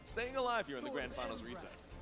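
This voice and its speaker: Dude casting a fighting game super quick, packed with jargon, voice swings all over, loud music behind. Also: male voice, medium pitch, very animated. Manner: rapid jargon-packed patter. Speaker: esports match commentator. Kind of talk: live play-by-play game commentary.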